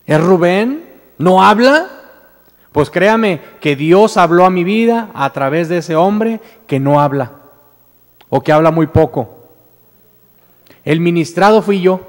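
A man's voice: high voice, animated, takes it slowly, pauses often. A Mexican man in his thirties preaching a sermon at a pulpit mic, telling a story earnestly with many repeated words and restarts, then rising into emphatic declarations. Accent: Mexican Spanish. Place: church sanctuary with stage, indoors. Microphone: standing mic at pulpit. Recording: church hall reverb.

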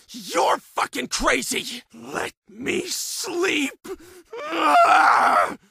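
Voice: sits high, bright, forceful tone, no room sound, clean studio sound, exaggerated voice. An actor playing a raving, paranoid asylum patient in a video game, tormented and violent, ranting in frantic, screechy bursts. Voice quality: screechy, gravelly scream. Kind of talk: shouted video game enemy barks.

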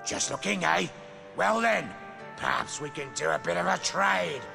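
An actor playing a sly, bullying cleric guard in a video game, mocking and wheedling in a drawn-out manner. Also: male voice, high voice, gravelly. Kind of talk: theatrical video game voice acting. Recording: loud game music behind voice.

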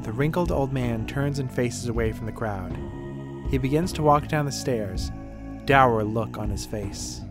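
A narrator reading a scripted fantasy story in a measured, descriptive way. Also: mid-range voice, music in the background. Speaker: narrator and sole voice performer.

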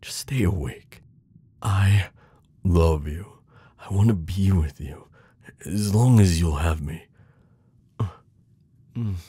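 A man performs a devoted knight in an intimate fantasy audio drama. The voice is low and husky, close and dry, with unhurried pacing. He is protective and tender, pleading urgently with the one he loves. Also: no echo, heavily acted. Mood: tender, worried. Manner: urgent, pleading, hushed reassurance. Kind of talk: intimate ASMR romance roleplay acting.

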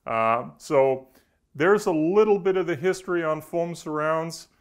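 A middle-aged man, explaining informally to camera as an engineer, in a halting, conversational way with repeated words. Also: a little high. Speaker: audio engineer presenting. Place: indoor studio with backdrop.

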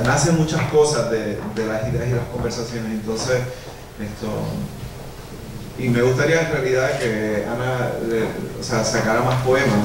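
A man in his thirties with a Puerto Rican Spanish accent, talking casually at a book presentation and rambling with many fillers into a handheld mic. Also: medium pitch. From Puerto Rico. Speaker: visual artist and musician. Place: small theater with an audience. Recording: echoey hall from far off, noisy.

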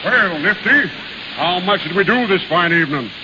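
An actor playing a pompous, genial carnival boss with florid, hesitant speech in a 1930s radio drama. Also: male voice, high-pitched, expressive, moderate pace. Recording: hissy, muffled 1930s radio broadcast.

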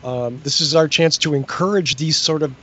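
A man's voice: a little high, normal speed, bright, forceful tone. Earnest man arguing on a video call. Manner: earnest, persuasive. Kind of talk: casual panel discussion. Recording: dull lo-fi video call audio.

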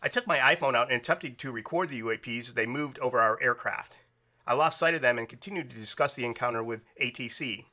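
A man's voice: mid-range voice, fast, sharp tone. A man reading out a report and explaining it informally as narrator. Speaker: narrator presenting air traffic recordings.

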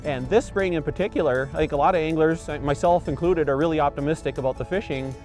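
A man's voice: a little high, quick pace, darkish tone, faint music behind. A man in his forties, a fisheries biologist, answering interview questions outdoors by a river in an earnest, explanatory way, with frequent fillers and restarts.

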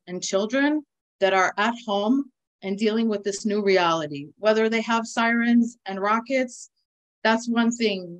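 A woman in her forties with an American accent, giving an earnest, measured briefing over a video call and pausing often with "uh". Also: on the high side, rough recording. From United States. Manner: earnest, measured, with frequent "uh" pauses. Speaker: nonprofit speaker. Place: indoors with a virtual flag background. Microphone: video call webcam.

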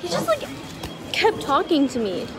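A teenage girl vlogging on a phone held at arm's length in a busy restaurant, gushing and giggly as she talks fast and excitedly. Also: high voice, noisy background. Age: teens. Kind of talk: excited casual vlog chat. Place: indoor restaurant at a competition venue.

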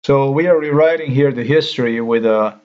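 A man's voice: medium pitch, a little mellow. Indignant man ranting, lo-fi. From Slovenia. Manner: indignant, halting, swears. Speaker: commentator. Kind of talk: ranting screen-recorded commentary.

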